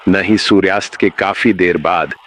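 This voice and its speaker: A man playing a grave, menacing emergency announcer in a scripted horror broadcast, speaking in a measured way. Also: sits low, steady tone, fairly bright tone, a little hiss or hum. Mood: grave, ominous. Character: ominous male emergency broadcast announcer warning of uncanny teachers. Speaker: narrator.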